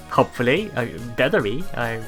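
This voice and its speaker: A man with a bright, forward voice at a middle pitch hosts a visual novel let's play. He reads the text aloud and adds hesitant, musing commentary, with music playing behind him. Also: sing-song, unhurried. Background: game music.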